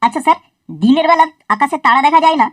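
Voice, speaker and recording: A woman voicing a cheeky, foul-mouthed young schoolboy in a cartoon, talking in a quick, sassy and crude way. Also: high voice, expressive, dry recording, faint music behind. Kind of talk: cartoon voice acting.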